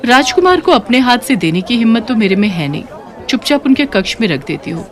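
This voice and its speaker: A woman's voice: a little high, very animated, a little fast. A woman voicing a shy, humble young tailor's daughter. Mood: timid. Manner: timid and self-doubting.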